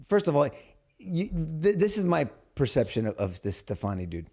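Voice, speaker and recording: Gravelly, dark-voiced man hosting a podcast. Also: on the high side, very animated, normal speed. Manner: blunt, profane, lots of restarts. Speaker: podcast host. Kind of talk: casual chat.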